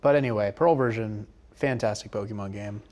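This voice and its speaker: A man's voice: on the low side, brisk, darkish tone. A young American man chatting casually and informally as an easygoing co-host. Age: young adult. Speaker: local TV station chat co-host. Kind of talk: casual unscripted chat.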